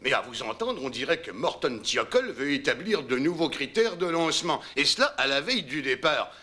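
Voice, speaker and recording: A man's voice: on the high side, very animated, brisk, bright, forceful tone. A man acting an impatient, combative space programme manager in a dubbed docudrama, arguing with sarcasm and pressing his point. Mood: exasperated. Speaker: meeting official challenging engineers.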